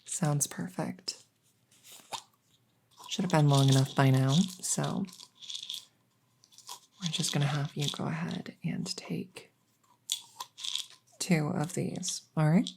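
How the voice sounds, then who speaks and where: A woman playing a kindly, rambling vet in an ASMR audio roleplay, explaining things softly and hesitantly with lots of reassurance. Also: sits low, steady tone, slow pace, creaky, long pauses, background noise, exaggerated voice. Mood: gentle, clinical. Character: kindly, rambling female vet for supernatural patients. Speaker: voice roleplay performer.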